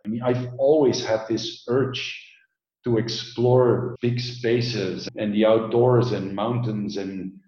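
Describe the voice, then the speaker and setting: A middle-aged man from Belgium who heads an environmental agency, answering interview questions in a measured, explanatory way with frequent filler sounds. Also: lowish voice, steady tone, warm tone, echoey room, dull audio, soft background music.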